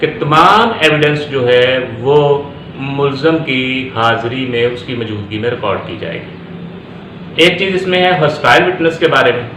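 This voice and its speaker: A man with a Pakistani accent giving an explanatory law lecture, deliberately repeating phrases for emphasis and switching into English terms. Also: mid-range voice, animated, sharp tone. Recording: echoey room with faint background noise.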